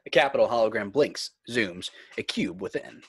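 A man's voice: lowish voice, expressive, moderate pace, fairly bright tone, slightly rough, no room sound. A man narrating screenplay scene headings and action in a scripted read. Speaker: narrator reading stage directions. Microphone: video call.

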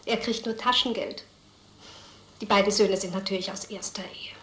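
A woman's voice: medium pitch, steady tone, moderate pace, fairly bright tone, close to an everyday voice. A woman playing a gossipy informant in old crime film dialogue. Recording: echoey film soundtrack under music.

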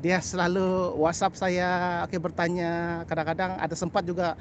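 A middle-aged man from Sabah with a Sabahan Malay accent, chatting casually to camera in a halting, repetitive way with little filler tags. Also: sits high, level tone. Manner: halting, repeats phrases, frequent "ah" and "lah" tags. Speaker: birding vlogger. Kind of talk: casual vlog chat to camera. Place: outdoors on a grassy path by a canal. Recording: outdoor background noise.

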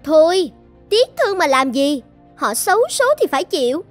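A woman voicing a haughty, boastful iron jar in an animated fairy tale, talking scornfully. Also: sits high, moderate pace, soft background music. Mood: callous.

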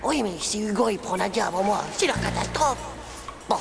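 Cartoon schoolboy hero: croaky, hot-tempered, slangy, whiny; prominent music underneath. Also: medium pitch, animated, a little fast. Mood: anxious plotting. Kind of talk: French animated series dubbing.

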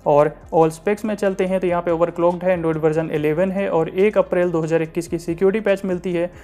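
An Indian man narrating a phone software review as a tech reviewer, with repetitive explanatory patter. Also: high voice, fairly even intonation, normal speed. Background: light background music.

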